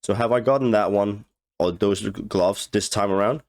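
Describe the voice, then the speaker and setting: A young man with a head cold talks through a video game walkthrough. His voice sits a bit low and sounds rusty and congested. He speaks in a loose, halting way, full of fillers and pauses to clear his throat. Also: darkish tone. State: hoarse with a cold, blocked nose. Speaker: game guide creator. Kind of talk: casual gameplay walkthrough commentary.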